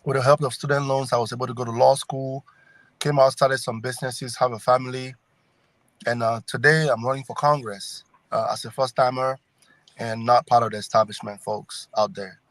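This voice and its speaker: A man's voice: medium pitch, steady tone, normal speed, fairly bright tone, no room sound. Middle-aged man with a Cameroonian accent. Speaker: congressional candidate, guest. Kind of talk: conversational campaign interview.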